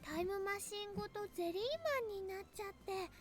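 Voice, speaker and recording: A sweet, scatterbrained teenage girl from an anime visual novel. Her voice is extremely high and childlike, with quick speech that stretches at the ends of sentences. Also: level tone, loud music behind the voice. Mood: sweet, curious. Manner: childlike, drawn-out sentence endings. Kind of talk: Japanese visual novel voice acting.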